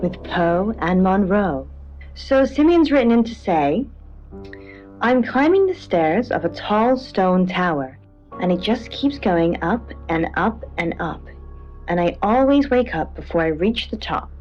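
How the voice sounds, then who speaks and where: A spooky radio show hostess with theatrical flair. Her mid-pitched voice swings widely in tone, slightly muffled, with music behind. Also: very animated, a little slow, a little mellow. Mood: intrigued, theatrical. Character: lively female radio host of spooky dream show.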